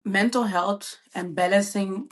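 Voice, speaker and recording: A woman's voice: medium pitch, steady tone, unhurried, poor audio. A bubbly woman. She answers interview questions casually, with frequent ums and uhs. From Netherlands. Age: 20s. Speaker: guest, event planner.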